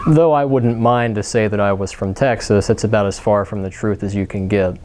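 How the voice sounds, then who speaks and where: A young man with an Eastern Kentucky accent, a college student giving relaxed interview answers. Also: on the low side, a little fast.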